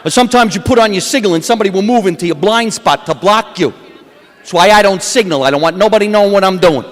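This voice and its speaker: A stand-up comedian with a New Jersey accent, delivering a deadpan wisecracking set onstage. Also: male voice, high voice, quick pace. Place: comedy awards stage. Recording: long hall reverb from a stage.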